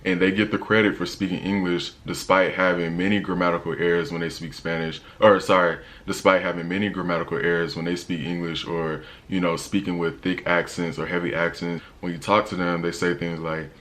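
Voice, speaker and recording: A young man with a deep voice, talking casually in a travel vlog with a laid-back, slangy manner and lots of fillers. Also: little pitch movement, brisk, few pauses, a bit bright. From United States. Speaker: travel vlogger.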